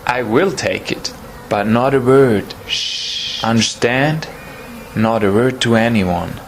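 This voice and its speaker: A man playing a greedy, scheming small-town butcher in a horror audio drama, expressive and furtive. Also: medium pitch, slow, vocal fry, slight background noise, poor audio. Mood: secretive.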